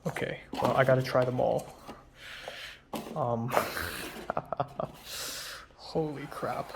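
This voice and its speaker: Young man, casual chatty vlogger, slightly raspy. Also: medium pitch, drawn-out words, background noise. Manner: relaxed, chatty, drifting between soft murmurs and louder remarks. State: slightly raspy voice after a long phone call. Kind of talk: casual food-tasting vlog chat.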